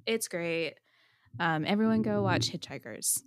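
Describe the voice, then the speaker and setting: A woman hosting a podcast in casual interview chat, with a quiet voice, chatty and quick to laugh. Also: on the low side. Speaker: podcast host.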